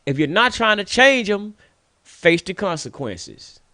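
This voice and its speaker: A man's voice: high-pitched, very animated, measured pace, sharp tone, no room sound. Fired-up preachy man, dry sound. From United States. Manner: preachy, scolding, repetitive emphasis. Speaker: radio show host. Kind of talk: impassioned monologue.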